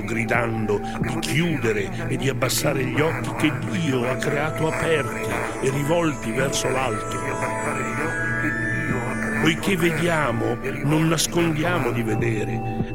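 An actor reading as a proud, defiant Renaissance philosopher, in a solemn and measured declamation over music. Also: male voice, medium pitch, close to an everyday voice. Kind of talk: solemn dramatic reading of an old text.

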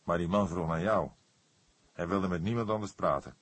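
A man's voice: deep voice, normal speed, no echo. A man voicing a grumbling, reproachful police desk sergeant in an audiobook. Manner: gruff, reproachful.

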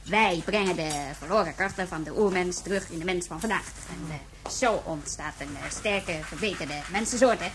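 An evasive, distracted cult member, acted by a woman, explaining things haltingly in a radio play. The voice is low for a woman, with clear noise and clicking behind it. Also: lightly acted. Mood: earnest lecturing. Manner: hesitant, distracted lecturing. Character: evasive cult member breeding an improved human race. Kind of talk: radio drama acting.

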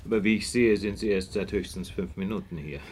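An actor playing an urbane, observant gentleman detective, courteous and self-assured, in a radio play. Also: on the low side, fairly quick, slight background noise, close to an everyday voice.

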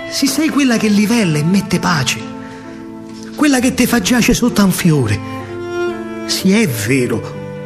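Slow, animated Roman man declaiming verse. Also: on the high side. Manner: declamatory, dramatic, lyrical. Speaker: solo stage actor and storyteller. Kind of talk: theatrical verse monologue in dialect. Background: live musical accompaniment.

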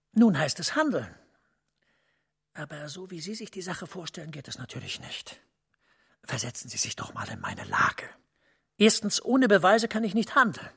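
An actor playing a commanding senior official in a German radio play, husky and breathy, speaking deliberately and dropping to near-whispers. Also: male voice, high-pitched, fairly bright tone, full character voice. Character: commanding male senior official, guarded, urgent, conspiratorial.

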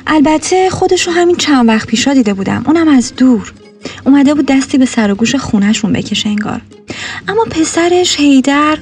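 A woman playing a witty, wry neighbourhood gossip who teases in a theatrical mock-formal way, in a nostalgic radio drama. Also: sits high. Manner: teasing, theatrical, drawn-out address.

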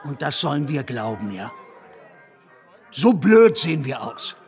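A threatening military policeman in a radio drama. The voice sits fairly high and swings widely in pitch. Delivery is clipped, officious and menacing. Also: sing-song, measured pace, faint music behind. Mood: suspicious, stern. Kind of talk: German radio play acting.

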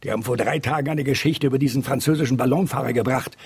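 An actor playing a gruff, pompous middle-aged newspaper editor in a radio drama, raspy and easily exasperated. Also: male voice, medium pitch, fairly even intonation, quick pace, a little mellow. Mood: scornful. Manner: exasperated, pompous, opinionated, stammering in thought. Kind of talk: German radio drama acting.

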